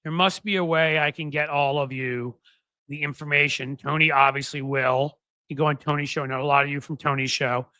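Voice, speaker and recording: A man's voice: a little high, steady tone, fairly quick, sharp tone, no room sound, low-quality recording. A man hosting a casual livestream, talking straight to his viewers, rambling with many restarts and self-corrections while earnestly pressing his points with rhetorical questions. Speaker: livestream show host.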